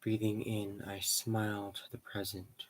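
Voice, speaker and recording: A man leading a guided meditation in slow, measured short phrases with pauses between them. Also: fairly low pitch, flat delivery, low-quality recording.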